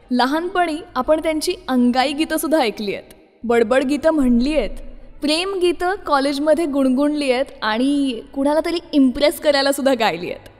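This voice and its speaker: A woman presenting a scripted radio show about classic songs, addressing listeners directly. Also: high voice. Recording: long reverb, music behind the voice. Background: music.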